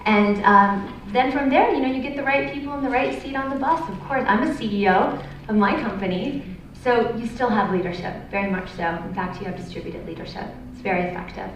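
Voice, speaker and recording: A woman's voice: medium pitch, brisk, slight background noise. A woman who runs a company, answering on a panel in a confident, assertive way. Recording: echoey hall, distant mic.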